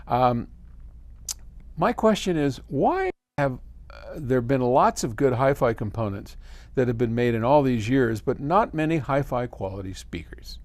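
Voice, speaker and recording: An older American man answering a viewer's question in a casual, folksy, conversational way with expressive emphasis. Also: mid-range voice, unhurried, no echo. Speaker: host, audio company head answering viewer questions.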